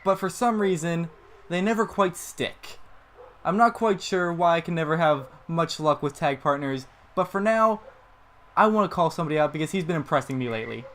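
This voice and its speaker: A man's voice: a little high, normal speed, a bit bright. A man voicing a brutish male wrestler calling out a rival in a mock-serious game promo. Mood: boastful.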